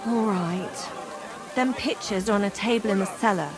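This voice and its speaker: An actress playing a young medieval serving maid in a video game, coy and teasing. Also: female voice, mid-range voice, moderate pace, over music, a crowd in the background, lightly acted. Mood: coy, guarded. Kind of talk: video game cutscene acting.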